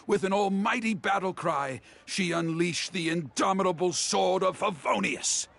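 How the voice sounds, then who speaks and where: Dramatic, creaky-voiced storyteller. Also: male voice, high-pitched, moderate pace, fairly bright tone, soft background music, exaggerated voice. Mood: dramatic, suspenseful. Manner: grandiose, suspenseful delivery. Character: dramatic, grandiose male street storyteller spinning heroic legends. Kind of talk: theatrical storytelling, video game voice acting.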